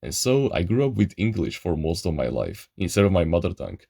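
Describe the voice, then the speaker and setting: A young man with a lowish voice tells a story from his own life in loose, slangy chat and keeps calling the listener bro. He is from Eastern Europe and speaks English as a second language. The sound is dry and close, with no room echo. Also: very animated, fairly quick, vocal fry, mellow. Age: young adult. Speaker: channel host. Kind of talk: casual storytelling monologue.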